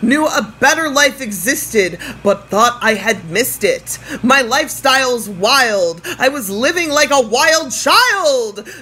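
A woman in her thirties giving an overwrought, theatrical recitation of song lyrics with emphatic pauses. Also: on the high side, expressive, bright, forceful tone, low-quality recording. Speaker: guest performer reciting lyrics. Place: small indoor room at home. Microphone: phone at arm's length. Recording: clear ambient noise, phone recording.

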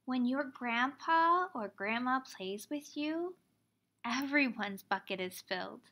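A woman in her thirties reading a children's picture book aloud like a teacher, expressively, pausing to explain things simply for young children. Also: high voice, animated, bright, forceful tone. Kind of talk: children's storybook read-aloud.

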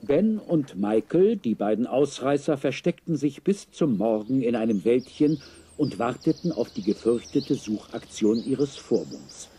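An actor narrating an adventure tale in a scripted children's audio drama. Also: male voice, mid-range voice, animated, unhurried, mellow, breathy edge. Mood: measured storytelling.

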